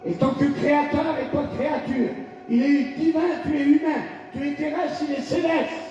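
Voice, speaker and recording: High-voiced man preaching in echoey hall. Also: fairly even intonation, normal speed, slight background noise. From France. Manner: preaching with shouted bursts and call-and-response. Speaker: pastor. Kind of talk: fiery revival sermon. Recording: echoey hall, distant lo-fi mic.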